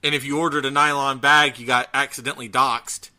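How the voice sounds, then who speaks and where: A man chatting casually as a podcast host, ranting in an animated, profane way. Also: medium pitch, brisk. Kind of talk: casual chat about gaming news.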